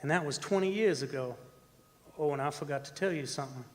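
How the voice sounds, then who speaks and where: Man acting a haunted oil roughneck, echoing hall. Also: fairly high pitch, lightly acted. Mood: hushed, eerie. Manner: folksy, halting, repeating words for suspense. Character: male oil rig roughneck, haunted, defensive, telling his eerie tale. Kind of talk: live radio drama acting, first-person horror narration. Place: performing arts center stage. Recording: stage performance in reverberant hall, music behind.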